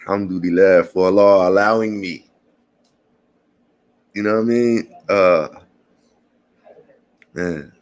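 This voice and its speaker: A man, chatting casually to passersby and viewers in a relaxed morning vlog, calling everyone brother and man. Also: low voice, slow pace, pauses often. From Puerto Rican family. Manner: laid-back, slangy, calls people brother and man. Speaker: vlogger.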